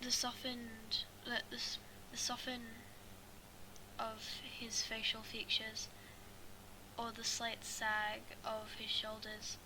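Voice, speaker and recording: A woman reading a story aloud as an amateur, halting and stumbling over her words. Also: a little high, completely flat delivery. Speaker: fan fiction reader. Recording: clearly noisy amateur recording.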